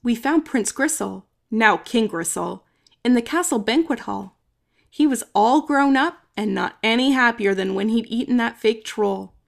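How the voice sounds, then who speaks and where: High-pitched woman reading a children's storybook. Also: expressive, measured pace, gravelly. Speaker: storybook reader. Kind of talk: expressive children's read-aloud, narrating in first person.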